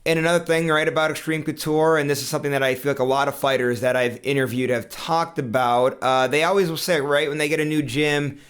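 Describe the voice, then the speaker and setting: A man's voice: fairly high pitch, fairly even intonation, quick pace, few pauses, fairly bright tone, smooth. A man hosting an interview, asking long, rambling questions full of fillers. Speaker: interview host.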